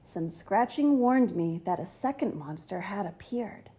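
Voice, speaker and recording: Slow, expressive woman storyteller. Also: mid-range voice, slightly rough. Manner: enthusiastic, dramatic. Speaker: storybook reader. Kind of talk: expressive children's story narration.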